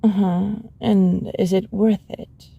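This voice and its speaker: A woman playing a sullen, possessive animal hybrid roommate in an ASMR roleplay, grumbling in a sardonic, husky voice. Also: medium pitch, fairly even intonation, slow pace, no room sound, narrow, tinny audio, heavily acted. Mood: sullen, reproachful. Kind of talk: ASMR audio roleplay acting.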